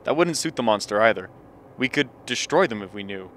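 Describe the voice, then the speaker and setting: An actor playing a logical, level-headed scientist in a radio play, matter-of-fact and grimly ironic. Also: male voice, fairly low pitch, lively intonation, fairly quick, fairly bright tone, slight background noise. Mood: probing.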